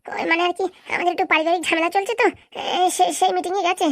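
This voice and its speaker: Woman voicing nervous simple villager, comedy cartoon, stammering pleas, very rapid talk, dry sound. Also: very high voice, fairly even intonation, a bit bright, dry recording. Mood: nervous pleading. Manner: stammering, pleading. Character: timid, flustered simple villager hauled off by police. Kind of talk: Bengali comedy cartoon dialogue.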